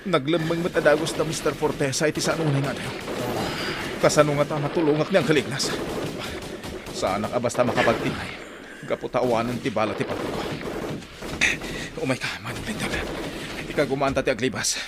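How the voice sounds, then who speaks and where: A man's voice: medium pitch, fairly quick, pauses often, very noisy, lightly acted. A man playing a hot-blooded, vengeful hero in a radio drama, with intense, anguished, declamatory delivery. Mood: tense. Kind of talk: Ilocano radio drama acting.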